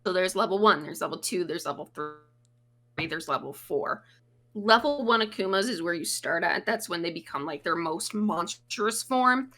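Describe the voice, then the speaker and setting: A woman with a bright, forward voice talks as a guest on a casual podcast. She explains things at length and swears casually. She is recorded remotely over the internet. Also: medium pitch.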